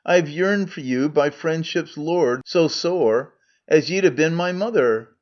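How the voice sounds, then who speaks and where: A crafty, down-at-heel trader with a broad Scottish accent coaxes and blusters, as a man puts him on. The voice sits high and bounces with eager hawking. It is heard through a dull, muffled recording. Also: takes it slowly. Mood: wheedling. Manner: broad dialect, coaxing and blustering. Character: crafty poor male trader, older, wheedling.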